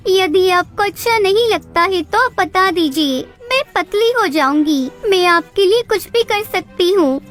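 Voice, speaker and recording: Stubborn, gluttonous little bird wife, played by a woman, extremely high whiny voice, cartoon storytelling over music. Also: expressive, unhurried, hardly any pauses, fairly bright tone, exaggerated voice. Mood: defensive. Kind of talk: animated Hindi moral story dialogue. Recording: music bed behind the voice.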